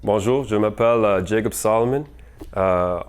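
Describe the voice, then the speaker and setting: Halting young man, low voice, full of fillers. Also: smooth. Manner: halting, hesitant, many fillers, slips into English words; apologetic about his French. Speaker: mayoral candidate. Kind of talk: unscripted campaign message.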